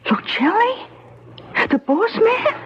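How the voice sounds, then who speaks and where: Clingy, slangy mobster's girlfriend, anxious and affectionate. Very high, swooping voice, vintage radio drama. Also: slow, heavily acted. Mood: puzzled, teasing. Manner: slangy, breezy, expressive. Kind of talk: 1970s radio drama acting. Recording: dull 1970s radio broadcast, slight noise.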